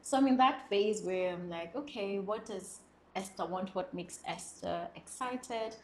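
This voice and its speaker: A woman's voice: medium pitch, measured pace, darkish tone, reverberant. A young woman from South Sudan, a guest speaking earnestly and expressively in an interview, laughing often. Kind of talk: reflective interview conversation.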